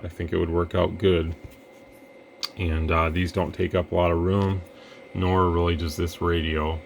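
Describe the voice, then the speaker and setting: A man testing radio gear in a relaxed, rambling way, breaking into mic checks and counting. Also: low-pitched, measured pace, gravelly, slight background noise. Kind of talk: casual product demo.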